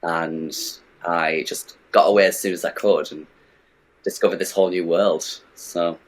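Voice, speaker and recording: Guest: young camp man, low-pitched, chatty, keeps asking "you know what I mean". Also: steady tone, measured pace, rough recording. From northeast England. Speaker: guest, drag performer. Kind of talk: casual interview chat.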